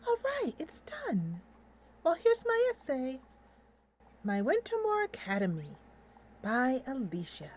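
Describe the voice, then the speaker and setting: A woman's voice: sits high, huge pitch swings, slow, long pauses, a little hiss or hum. A woman hosting a casual let's play, thinking aloud in a playful, giggly way as she comments on the game. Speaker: host of a gaming channel.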